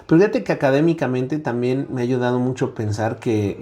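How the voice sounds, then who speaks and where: A man's voice: medium pitch, a little fast, mellow. A middle-aged Mexican man with a Mexican accent, speaking as a guest academic in a conversational interview, reflective, with frequent "eh" fillers and a habitual "¿no?".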